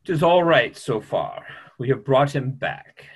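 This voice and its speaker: A man's voice: medium pitch, animated, measured pace, husky, rough recording. A middle-aged man playing a grave, courteous doctor in a play reading, formal and emphatic. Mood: courteous, serious. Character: grave, courteous male doctor, firm in his opinions. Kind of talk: remote script reading of a stage play. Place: small room at home, video call.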